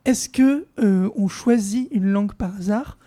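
A woman's voice: medium pitch, a little slow, warm tone. A woman interviewer asking a band a question in friendly, loose phrasing.

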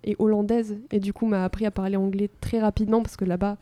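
A woman's voice: medium pitch, level tone, fast, warm tone, no room sound. A young woman in her twenties, a band singer chatting upbeat in an interview, laughing often. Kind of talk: casual interview chat.

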